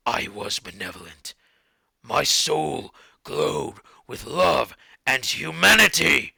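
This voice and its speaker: A wretched, eloquent monster, speaks in a low, heavily creaking rasp. He delivers his literary monologue slowly and theatrically, in archaic words, bitter and anguished toward his creator. The recording is close and dry. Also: bright, forceful tone, no echo, full character voice. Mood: pleading, anguished. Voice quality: gravelly, rasping.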